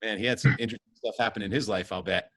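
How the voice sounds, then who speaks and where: Halting middle-aged male host. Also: on the low side, a bit bright, dry recording. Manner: hesitant, many restarts and fillers. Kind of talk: casual conversation with old friends.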